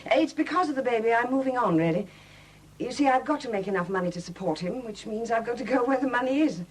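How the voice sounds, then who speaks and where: An actress playing a proud, bitter, self-reliant young widow with a husky voice and a brittle, wry manner in a 1970s radio drama. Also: female voice, on the high side, expressive, fast, poor audio. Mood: guarded, resolute.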